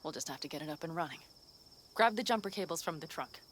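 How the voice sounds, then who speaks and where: An actress voicing a confident female mercenary in a video game, giving quick, commanding orders. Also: low-pitched, animated, a bit bright, slight background noise. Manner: brisk, commanding planner. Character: tough female fixer, confident and cocky. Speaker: mercenary ally.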